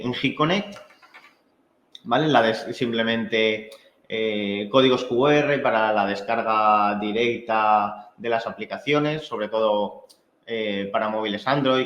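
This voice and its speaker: A man from the trade gives an informal technical class in Spanish with a Spain accent. He explains things conversationally and haltingly, often checking in as he goes. The sound is roomy and echoey, and somewhat dull and muffled. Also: fairly low pitch, fairly bright tone, slight background noise. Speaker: technical trainer. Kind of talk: informal technical training presentation.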